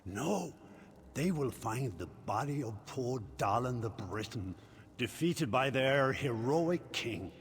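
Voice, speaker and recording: Smug theatrical illusionist villain, swooping delivery. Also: male voice, mid-range voice, lively intonation, slight background noise. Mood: gloating, mocking. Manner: mocking, drawn-out, gloating delivery.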